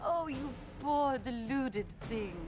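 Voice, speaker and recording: A woman's voice: sits high, animated, over music. An actress playing a scheming, haughty noblewoman villain in a video game, theatrical and mocking. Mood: mocking, menacing. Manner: mocking, aristocratic, drawn-out. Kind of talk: video game cutscene acting.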